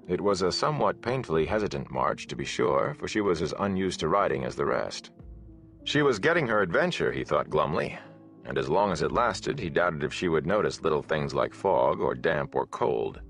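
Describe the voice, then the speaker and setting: Low voiced guy reading a fantasy audiobook, measured dramatic storyteller delivery, bit of music under it. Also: big pitch swings, vocal fry, a bit bright. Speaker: audiobook narrator. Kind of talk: scripted fantasy novel narration.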